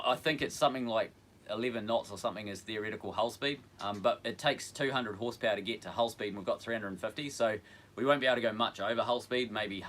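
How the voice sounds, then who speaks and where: A man hosting a casual livestream, answering viewer questions in a hesitant, relaxed way with many pauses. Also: fairly low pitch. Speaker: boat channel host.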